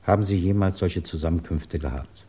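A man playing a measured, courteous British government investigator in a 1950s German radio drama, formal, deliberate and patiently probing. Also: low-pitched, mellow, husky. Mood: insistent, probing.

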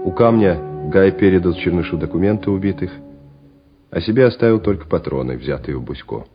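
A man's voice: deep voice, animated, fairly quick. A man narrating a war radio play in a scripted, dramatic storytelling style. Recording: dull radio recording with music behind.

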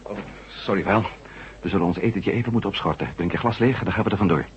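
A man's voice: low-pitched, quick pace, lightly acted. A man playing an impulsive, decisive reporter and adventurer in an old radio drama. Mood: thoughtful. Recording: noisy, dull old radio recording.

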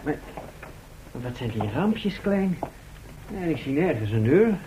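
An actor playing a sober, observant and wary gentleman in a radio drama, speaking in a measured, matter-of-fact way. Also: on the high side, big pitch swings. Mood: puzzled. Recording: dull, faintly noisy old radio recording.

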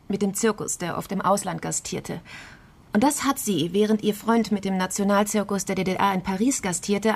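A woman's voice: medium pitch, natural voice. An actress in a crime radio play voicing a composed, determined investigator, matter-of-fact and clipped as she reports a case.

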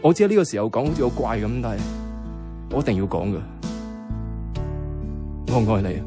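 A man's voice: fairly low pitch, lively intonation, brisk, music in the background, natural voice. An actor with a Hong Kong Cantonese accent playing an earnest, clumsy, lovestruck young man in a radio drama. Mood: earnest, pleading.

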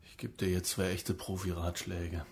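Lowish-voiced coaxing police negotiator. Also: male voice, little pitch movement, normal speed, gravelly, a little mellow. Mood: businesslike. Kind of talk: radio drama acting.

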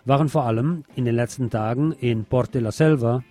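A man's voice: mid-range voice, brisk, music in the background. A man presenting scripted magazine news on the radio, with a wry, ironic touch in his delivery.

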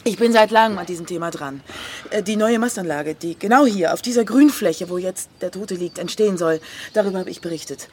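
An actress playing a defiant, outspoken journalist in a radio crime drama, challenging and easily flustered. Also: female voice, fairly low pitch, fairly quick, darkish tone, husky. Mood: flustered, earnest. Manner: challenging, stumbling when flustered.

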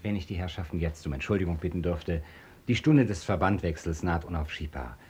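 An actor playing a blunt, busy hospital doctor in a radio drama, dry and ironic but gentle with children. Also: male voice, low voice, a little fast, slightly rough. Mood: politely brisk. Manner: dry, clipped, formally ironic. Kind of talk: German radio drama acting.

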